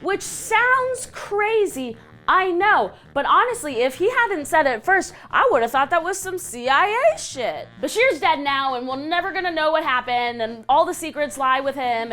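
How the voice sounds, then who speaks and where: A woman's voice: high-pitched, a bit bright. A woman with an American accent hosting a scripted comedic countdown of creepy stories, theatrical, sardonic and slangy. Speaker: host of a web countdown show.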